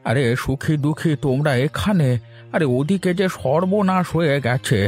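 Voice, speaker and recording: A man voicing a village uncle bringing bad news in an animated moral-story cartoon. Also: a little high, normal speed, slightly rough, faint music behind. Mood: alarmed.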